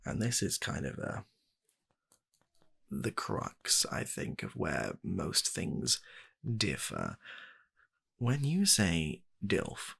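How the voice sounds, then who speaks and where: A British man softly playing a polite, fussy gentleman matchmaker in a comedic ASMR roleplay, hesitating and repeating his reassurances. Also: sits low, full character voice. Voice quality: gravelly, soft-spoken.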